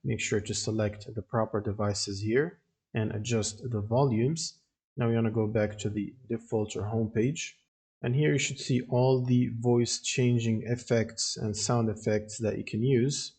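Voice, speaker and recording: Male, mid-pitched, mellow, husky, plain instructional tutorial narration. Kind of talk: step-by-step software tutorial voice-over.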